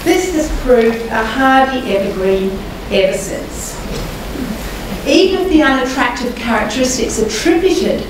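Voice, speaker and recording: An older Australian woman with a croaky voice gives a formal public lecture in a measured, deliberate way, pausing often to hesitate. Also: sits high, lively intonation. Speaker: guest lecturer. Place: large lecture hall. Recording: echoey hall, mic far off, noisy.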